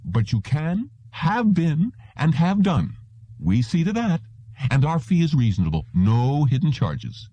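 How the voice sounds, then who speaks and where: A man plays a smooth, patronizing salesman from an audiobook, pitched up high and swinging widely in tone. He is polite and reassuring, pressing his pitch in a mellow, closely recorded voice. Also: very animated, measured pace, no room sound, dull audio. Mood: confident sales pitch. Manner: insistent, reassuring, formally polite. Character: smooth, patronizing salesman of implanted memories, male. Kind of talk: audiobook character voice.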